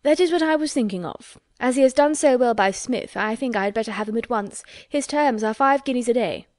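A woman voicing an eager, rambling young gentleman who gushes emphatically. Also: on the high side, a little fast, dry recording, clean studio sound, pitched above the performer's own voice, close to an everyday voice. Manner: gushing, emphatic.

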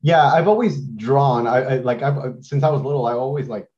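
A man's voice: mid-range voice, dull audio. A man in his thirties from Mexico, chatting casually as an interview guest over a video call, with lots of fillers and restarts. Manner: relaxed, halting, many fillers and restarts. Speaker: guest artist.

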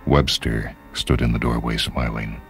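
A man's voice: extremely low pitch, level tone, unhurried. A man reading a short story as dramatic literary narration. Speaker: story reader. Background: music.

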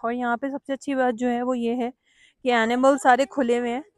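A woman travel vlogger talks casually while outdoors by a lake, with a fairly high voice. She is chatty and slips English phrases into her narration. The recording is dry and close, as from a handheld phone. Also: little pitch movement, a little fast, dry recording.

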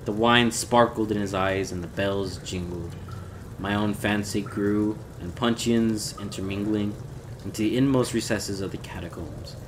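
Man, lowish voice, measured dramatic horror narration, very unhurried, clear background noise. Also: fairly even intonation. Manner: measured, theatrical. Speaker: audiobook reader.

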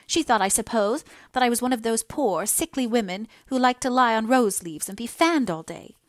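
A woman's voice: a little high, animated, normal speed, dry recording, studio quality. A woman voicing a devoted young daughter in an audiobook, earnest, fervent and pleading. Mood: indignant, pleading. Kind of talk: dramatised audiobook dialogue.

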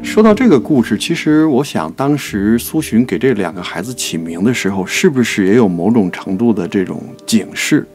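A man's voice: fairly low pitch, sing-song, moderate pace, hardly any pauses, darkish tone, soft background music. A man co-hosting a poetry programme, discussing literature in a reflective, conversational way in short phrases. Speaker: producer and co-host of a poetry programme.

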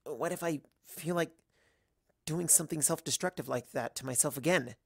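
A man's voice: a little high, normal speed, gravelly, breathy edge, no room sound, clean studio sound, voice pushed up. Hesitant, troubled young mare, acted by a man. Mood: troubled, guilty. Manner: hesitant, stammering. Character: earnest, troubled young female unicorn pony.

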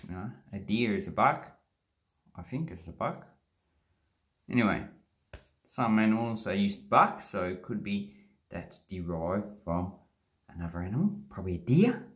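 A man's voice: on the low side, sing-song, measured pace, pauses often, fairly bright tone. A man in his forties with an Australian accent, cheekily explaining slang into a phone held close in a small bathroom. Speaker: slang vlogger. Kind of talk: casual explainer vlog. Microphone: phone camera held close.

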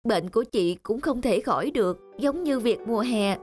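A woman's voice: on the high side, animated, moderate pace, a little mellow, natural voice. An actress playing a despondent, seriously ill young woman in a cartoon drama, with a plaintive, melancholy manner. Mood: resigned, sad. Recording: music behind the voice.

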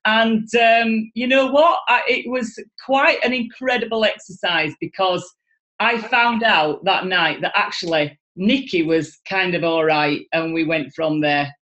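A woman's voice: medium pitch, measured pace, muffled recording. Enthusiastic Yorkshire woman on a video call. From Yorkshire, England. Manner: enthusiastic, expressive, gestures a lot. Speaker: guest speaker. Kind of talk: animated motivational talk. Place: home room, indoors, video call. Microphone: video call webcam.

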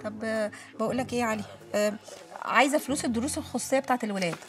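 High-voiced, theatrical Egyptian mother played by an actress. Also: rapid-fire, natural voice. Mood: coaxing. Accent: Egyptian Arabic. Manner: dramatic, complaining. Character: exasperated, scheming, theatrical mother and wife. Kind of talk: TV comedy-drama acting. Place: furnished home interior, film set.

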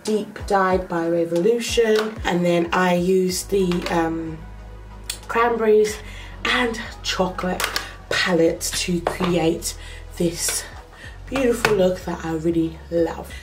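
A woman's voice: on the low side, music in the background, poor audio. A young woman with a cold, sounding nasal, chatting step by step through a makeup tutorial in her bedroom, close to the camera. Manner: slow, chatty step-by-step talk with fillers. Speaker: makeup vlogger. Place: small bedroom indoors. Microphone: camera close up to the face.

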